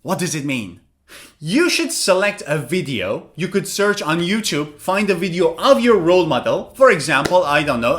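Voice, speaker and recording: Lively high-voiced man teaching pronunciation. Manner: animated, questions then answers himself. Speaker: English teacher. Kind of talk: pronunciation lesson.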